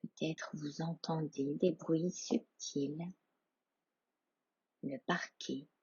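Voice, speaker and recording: A woman leading a guided yoga nidra meditation as instructor, hushed, calm and soothing, leaving long pauses between short phrases. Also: low-pitched, husky, no room sound, muffled recording.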